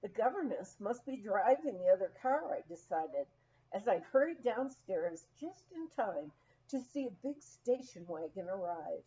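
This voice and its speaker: A woman reading a humorous memoir aloud as scripted narration, in an expressive, storytelling manner. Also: medium pitch, dull audio. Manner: expressive, unhurried storytelling with acted quotes. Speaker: audiobook reader.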